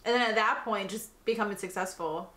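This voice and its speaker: A young adult American woman giving casual, excited, exclamatory reaction commentary. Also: medium pitch, a little fast. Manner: gushing, exclamatory. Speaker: host of a reaction video. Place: small room at home, indoors. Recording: roomy sound with music behind. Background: music from the video being watched.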